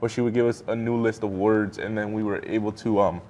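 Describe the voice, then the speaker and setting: A teenage boy, a high school student being interviewed, who talks hesitantly with lots of "um" and "like.". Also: fairly even intonation, fairly quick, darkish tone, a little hiss or hum. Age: teens. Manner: hesitant, with many "um" and "like".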